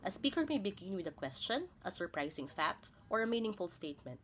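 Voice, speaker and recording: A woman's voice: mid-range voice, big pitch swings, brisk, a little hiss or hum. A woman presents a scripted lesson as a teacher, spelling out each point in a didactic, step-by-step way. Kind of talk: scripted instructional narration.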